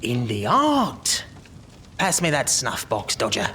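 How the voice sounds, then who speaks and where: An actor playing a wily old leader of child thieves in an audio drama, with an English accent, a gravelly voice and a theatrical, ingratiating manner. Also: male voice, medium pitch, huge pitch swings, sharp tone, slight background noise, exaggerated voice. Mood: sly, coaxing. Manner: theatrical, ingratiating, drawn-out delivery.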